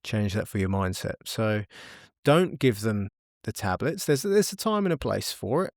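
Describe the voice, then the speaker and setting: A man's voice: fairly low pitch, animated, moderate pace, dry recording, studio quality. A British man in his thirties, a guest in a casual interview, speaking frankly and often repeating words as he thinks. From United Kingdom. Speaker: podcast guest.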